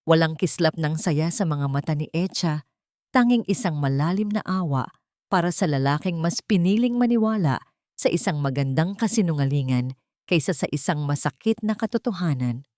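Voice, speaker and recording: A woman's voice: low-pitched, animated, normal speed, darkish tone, dry recording, clean studio sound. A woman reading a scripted dramatic story in a solemn, melodramatic manner. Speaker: storyteller narrator.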